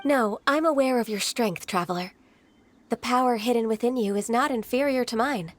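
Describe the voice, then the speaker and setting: A woman playing a gentle, reserved young half-human immortal in a video game, polite and earnest. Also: on the high side, normal speed, very noisy, lightly acted. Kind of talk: video game character acting.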